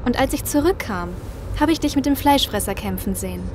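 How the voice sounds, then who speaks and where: A woman's voice: high-pitched, expressive, fairly quick, music in the background. A woman playing a plucky, matter-of-fact survivor in an audio drama. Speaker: voice actor.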